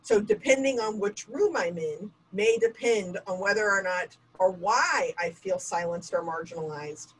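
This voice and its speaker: Lively woman in her forties teaching online. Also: mid-range voice, measured pace, few pauses, a little hiss or hum, poor audio. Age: 40s. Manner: lively, candid, self-mocking asides. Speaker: diversity trainer. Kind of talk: webinar lecture. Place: home room with bookshelf and bokeh lights. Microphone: webcam video call.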